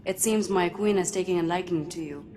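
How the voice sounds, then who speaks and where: A woman playing a poised, sly confidante in a video game cutscene, teasing and unhurried. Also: on the low side, noisy background, close to an everyday voice. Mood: wry teasing.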